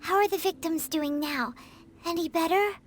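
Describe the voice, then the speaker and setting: A woman voicing a perky, childlike little fairy girl companion in a video game, chatty, blunt and exclamatory with a squeaky tone. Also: moderate pace, a little hiss or hum. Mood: curious, chatty. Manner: exclamatory, refers to self by name.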